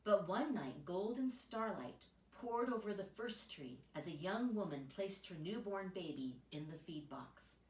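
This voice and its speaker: A woman reading a children's picture book aloud as an expressive storyteller, recorded in a roomy space away from the microphone. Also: fairly low pitch, measured pace, few pauses. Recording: roomy echo, distant microphone.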